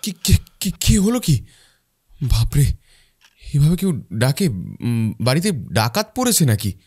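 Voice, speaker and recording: Grumbling husband with lively pitch swings. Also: male voice, measured pace, slightly rough, heavily acted. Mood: groggy, startled. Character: weary, easily swayed young village husband and brother. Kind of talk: animated cartoon moral-story acting.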